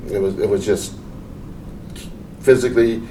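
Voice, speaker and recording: A middle-aged man from Portland telling his life story in a casual interview, unhurried and halting, with many fillers and restarts. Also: lowish voice, fairly even intonation, background noise. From Portland, Oregon. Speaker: interview guest, veteran. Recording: roomy, mic at a distance.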